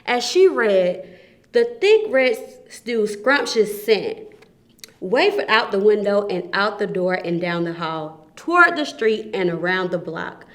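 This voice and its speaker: A woman reading a children's picture book aloud as a storyteller, emphasising phrases expressively and deliberately. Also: mid-range voice, sing-song. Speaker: volunteer children's story reader.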